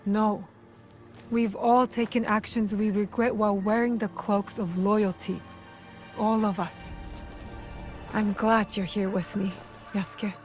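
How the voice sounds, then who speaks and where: A woman playing a compassionate but resolute fighter in a historical game drama, soft-spoken and sincere. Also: medium pitch, steady tone, slow, pauses often, soft background music, lightly acted. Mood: gentle, reflective. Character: compassionate, resolute female fighter of feudal Japan. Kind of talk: dramatic game cutscene acting.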